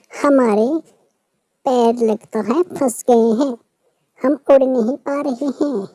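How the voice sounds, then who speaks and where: A woman voicing a playful little baby sparrow in a children's cartoon, talking in an excited high child voice. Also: no room sound.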